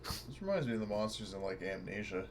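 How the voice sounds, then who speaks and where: A man's voice: fairly low pitch, very animated, fast talker, crowd noise. A man playing a tabletop game, talking in casual table chat with the other players.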